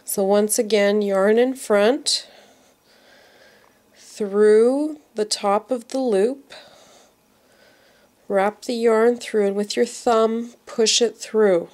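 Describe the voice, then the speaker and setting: A woman's voice: mid-range voice, steady tone, drawn-out words, pauses often, a little mellow. A woman teaching knitting step by step, sounding congested from a cold and repeating each move patiently. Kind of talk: slow step-by-step craft tutorial.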